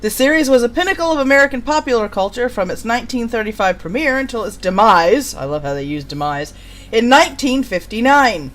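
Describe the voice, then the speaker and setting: A woman's voice: a little high, expressive, fairly quick, fairly bright tone. A woman hosting and giving casual, amused commentary on old radio shows. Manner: amused, giggly. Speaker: host.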